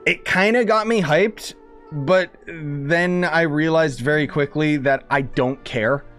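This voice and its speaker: A man's voice: a little high, slow, fairly bright tone. A man giving casual gameplay commentary in a sarcastic, grumbling way, swearing mildly as he plays. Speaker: gaming streamer playing and commenting. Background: game music.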